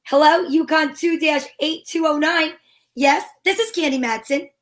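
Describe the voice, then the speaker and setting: A woman's voice: sits high, normal speed, lightly acted. A woman playing a breezy female private investigator in an amateur reading of an old-time radio play.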